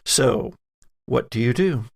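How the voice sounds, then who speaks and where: A man with a gravelly voice narrating a tabletop horror game as game master, deliberate and dramatic in his storytelling. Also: medium pitch, animated, no echo, studio quality.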